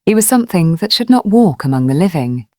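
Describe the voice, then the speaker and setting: A proud young bride voiced by a woman storyteller, frightened and in denial in a ghost folktale. Her breathless, disbelieving lines leap up and down in pitch, close and dry. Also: very animated, a little fast, darkish tone, no echo. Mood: shaken.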